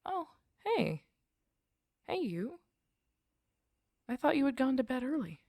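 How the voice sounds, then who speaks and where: A woman playing an affectionate, gently teasing girlfriend in an intimate sleep-aid roleplay, murmuring softly and coaxingly to the listener, close to the microphone. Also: high voice, big pitch swings, slow pace, dry recording. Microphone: close microphone, dry sound.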